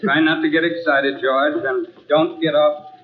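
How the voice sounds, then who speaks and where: A man's voice: fairly high pitch, level tone, measured pace, a little hiss or hum, lightly acted. An actor playing a dry-witted young man turned ghost hunter, in 1940s radio acting style. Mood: wry. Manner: dry, wry. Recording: tinny old radio transcription.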